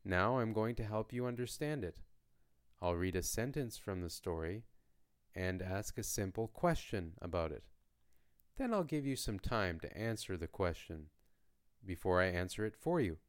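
A man from Canada hosting a beginner language podcast, speaking in a slow, lightly joking teaching voice. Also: lowish voice, expressive, clean tone. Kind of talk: slow, clear lesson narration for learners.

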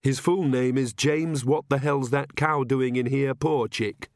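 A man's voice: medium pitch, fairly even intonation, unhurried, no room sound, lightly acted. A man voicing a prickly, fretful young mother with a dry, resentful manner in a storybook reading. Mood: rueful, resentful.

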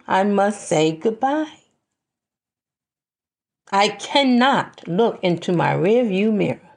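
A woman delivering a scripted spoken-word monologue in a deliberate, measured way, with pauses between phrases. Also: mid-range voice, darkish tone. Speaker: podcast host.

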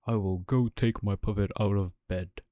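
A man voicing a kindly, loving woodcarver father with a heartfelt, expressive delivery in a bedtime story. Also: low-pitched, moderate pace, no room sound, lightly acted. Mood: wistful.

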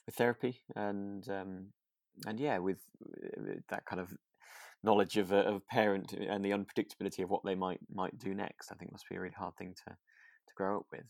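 A man co-hosting a relaxed conversational podcast, speaking tentatively in halting phrases. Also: low-pitched, gravelly, no room sound. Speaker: podcast co-host.

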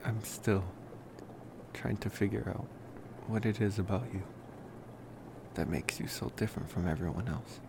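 A man playing a tender, smitten young college vampire in an intimate ASMR roleplay, speaking softly and hesitantly with affectionate pauses. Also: on the low side, vocal fry, background noise.

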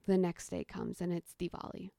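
A woman in her thirties from the United States, a storyteller guest who chats casually and then tells a personal story in the present tense, with lively quoted voices. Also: lowish voice, moderate pace, vocal fry, dry recording, studio quality.

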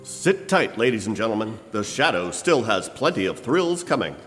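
A man's voice: fairly low pitch, sing-song, moderate pace, a bit bright, faint music behind. A man playing an urgent, persuasive old-time radio announcer, delivering a sponsor message with emphatic salesmanship. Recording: echoey live hall.

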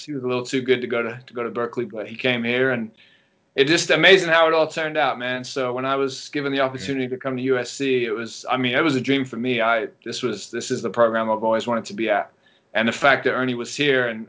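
A man in his thirties from England with an English accent, a track coach relaxed and rambling as he answers interview questions. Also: medium pitch, muffled recording. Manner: relaxed storytelling with many ums and restarts. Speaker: guest track and field coach. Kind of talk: casual podcast interview.

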